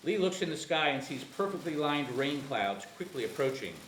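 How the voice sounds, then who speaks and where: Male screenplay narrator, lively, slightly high voice, informal table read with room echo. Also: moderate pace. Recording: echoey room, faint noise.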